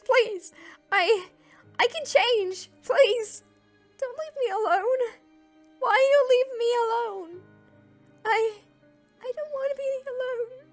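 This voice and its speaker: A woman voicing a frantic childlike female alien gem in a comic dub, with pleading, stammering, shrill delivery. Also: a bit bright, heavily acted. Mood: desperate pleading. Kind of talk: animated comic dub acting.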